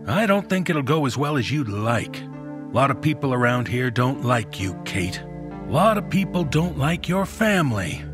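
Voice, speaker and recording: Horror podcast role: actor as a fed-up local lawman, medium-pitched male voice, confrontational and plain-spoken, stern small-town authority. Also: big pitch swings, moderate pace, music in the background, natural voice. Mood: threatening. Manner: blunt, folksy, accusing. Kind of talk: horror audio drama dialogue.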